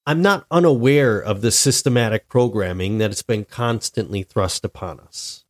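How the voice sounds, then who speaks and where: A married man hosting a solo podcast, talking in a slow, reflective way with many restarts. He sounds a little stuffy and tired from being ill. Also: fairly low pitch. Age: adult, married. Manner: deliberate, reflective, with frequent restarts. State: stuffy, sick with a cold-like illness. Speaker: podcast host.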